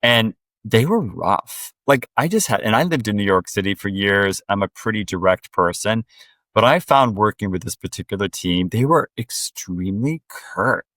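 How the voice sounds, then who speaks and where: A man with a lowish voice, recorded close and dry with no room sound. He talks earnestly and directly as a podcast guest, quickening when he stresses a point. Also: lively intonation, fairly bright tone, dry recording. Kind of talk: reflective conversational interview.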